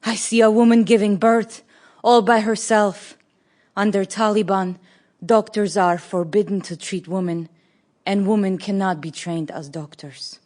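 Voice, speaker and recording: An actress as a brave Afghan woman who carries health care to remote places. She is a determined mother, speaking in a mid-pitched voice as a radio play monologue. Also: fairly even intonation, unhurried, a little mellow, natural voice. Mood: haunted, grave. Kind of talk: dramatic monologue, radio theatre.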